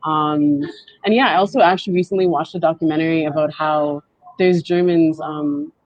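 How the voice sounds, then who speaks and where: A woman in her twenties, speaking softly and haltingly as she tells her story on a video call panel. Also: deep voice, steady tone, no room sound. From Canada. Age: 20s. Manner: soft-spoken, halting and reflective. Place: indoors at home, on a video call. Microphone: wired earbuds with mic. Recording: dull lo-fi video call audio.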